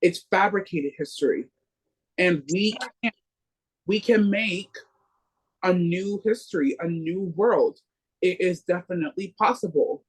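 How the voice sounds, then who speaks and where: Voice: mid-range voice, measured pace, breathy edge, poor audio. Earnest, rallying political book club speaker. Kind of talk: political discussion.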